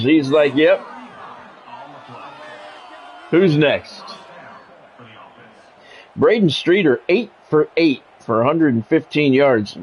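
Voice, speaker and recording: Higher-voiced man calling a football video game. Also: lo-fi sound, slight background noise. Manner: conversational, drifting between announcer calls and musing. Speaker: streamer and play-by-play commentator. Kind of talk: casual sports game commentary mixed with chat.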